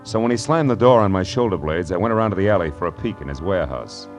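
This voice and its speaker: A man's voice: low voice, expressive, fast talker. A man acting a tough, wisecracking, cynical private detective in a 1940s radio noir, with a wry, slangy, punchy delivery. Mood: wry narration. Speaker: narrator and lead. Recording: vintage 1940s radio recording with music.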